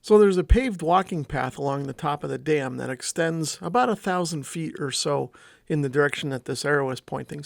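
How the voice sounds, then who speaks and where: Higher-voiced man with a slightly gravelly edge. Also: a bit bright, dry recording, clean studio sound. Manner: informal, explanatory, thinking aloud. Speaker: vlogger, radio hobbyist. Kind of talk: casual vlog narration.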